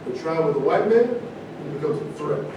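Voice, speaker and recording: A distant, noisy recording with heavy echo carries an actor as a provocative, argumentative man with a high voice. He declaims his opinions as in a stage play. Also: expressive, normal speed, natural voice. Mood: combative. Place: echoey room at a live staged reading. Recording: distant mic, very echoey room, noisy rumble.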